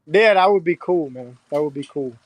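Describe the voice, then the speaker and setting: Laid-back higher-voiced man. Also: expressive, normal speed, dry recording, low-quality recording. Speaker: crypto project team member. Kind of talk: casual livestream chat.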